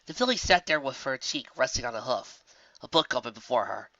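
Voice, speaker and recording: An amateur narrator reading scripted fantasy fan fiction in an expressive storytelling manner. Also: mid-range voice, a little fast, no echo, lo-fi sound.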